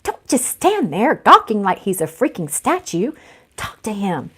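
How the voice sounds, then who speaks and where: A woman's voice: mid-range voice, wildly swooping pitch, unhurried, pushed and bright, slightly rough, full character voice. Woman acting sassy, confident young schemer. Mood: urgent.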